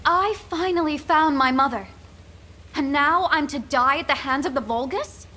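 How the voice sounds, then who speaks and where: A high, cutting female voice for a young game fighter. She sounds defiant, blunt and haughty, with a sharp tongue. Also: normal speed. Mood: scornful, defiant. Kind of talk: scripted game dialogue.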